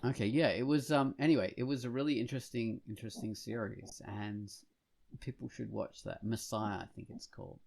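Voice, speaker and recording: A man's voice: medium pitch, steady tone, fairly quick, vocal fry, darkish tone. A man talking casually as a podcast co-host, hesitant and rambling as he thinks aloud. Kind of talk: casual discussion of films and comics.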